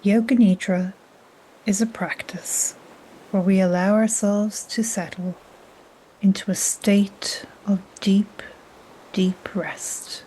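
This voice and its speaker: Slow, soft-spoken woman guiding relaxation. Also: mid-range voice, rough recording. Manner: soft, breathy, half-whispered, soothing. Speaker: yoga nidra guide. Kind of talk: guided relaxation meditation. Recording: steady soft noise like ocean waves. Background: gentle ocean waves.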